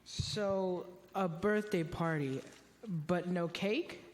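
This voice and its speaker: A man's voice: high voice, fairly even intonation, very slow, slight background noise. A male performer playing an easygoing, goofy, food-loving boy with a casual, eager manner in a church reader's theater. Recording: echoey hall with long reverb.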